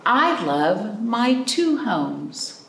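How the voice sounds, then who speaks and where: A woman hosting a library storytime, reading picture books and chatting to young children in an animated way with simple questions. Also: medium pitch, extremely slow, vocal fry. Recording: roomy, distant mic with background noise.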